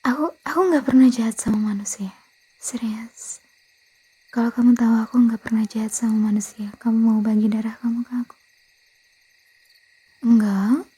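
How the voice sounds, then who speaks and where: A very breathy, mellow Indonesian vampire girl, acted by a woman, murmurs and whispers close in an ASMR roleplay. Her voice sits fairly high and stays soft. She coaxes and pleads, stumbling and correcting herself as she tries to seem harmless despite her thirst for blood. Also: steady tone, moderate pace, pauses often. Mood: reassuring, pleading. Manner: hesitant, stammering, self-correcting, coaxing. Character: blood-craving young female vampire girlfriend, harmless, hesitant, pleading.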